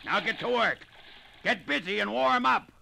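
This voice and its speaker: A man playing a brutal, domineering boss of log drivers, barking orders with a gravelly voice in a 1950s radio drama. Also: sits high, expressive, normal speed, bright, forceful tone, heavily acted, rough recording. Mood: harsh, commanding.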